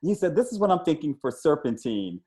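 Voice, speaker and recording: A man's voice: on the high side, big pitch swings, fairly quick, a little mellow. A man hosting a casual interview, effusive and encouraging, often repeating his affirmations. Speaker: interviewer and comics creator.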